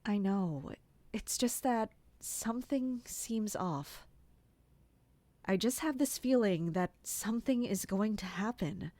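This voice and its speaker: A woman's voice: medium pitch, expressive, slow, warm tone, no room sound. Vigilant, worried castle guardian, mid-range female actor, dry close recording, audio drama narration and dialogue. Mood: uneasy. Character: vigilant, anxious woman guarding a royal castle. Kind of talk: first-person audio drama.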